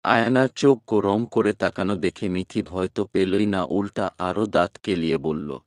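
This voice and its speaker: A man's voice: sits low, moderate pace, no echo, clean studio sound. A man narrating a scripted romantic story expressively.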